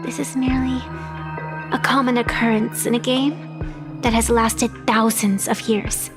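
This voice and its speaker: A woman playing an ancient, proud arbitrator of deadly games in a video game, speaking in a solemn, deliberate, poetic way over music. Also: on the high side, level tone, close to an everyday voice. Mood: grave, detached. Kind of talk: scripted video game voice acting, dramatic storytelling.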